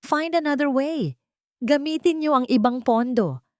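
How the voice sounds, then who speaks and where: A woman's voice: high voice, measured pace, darkish tone, no echo, studio quality, voice pushed up. A woman voicing an arrogant, irritable male business executive in a dramatic story, scornful and mixing in English. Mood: angry, shouting. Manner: scornful, code-switching into English.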